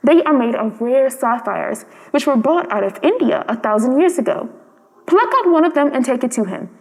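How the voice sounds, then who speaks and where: A gentle, sorrowful prince statue from a storybook , played by a woman. The high voice pleads solemnly and nobly. Long reverb surrounds each word. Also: animated, moderate pace. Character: sad, gentle, noble male prince statue.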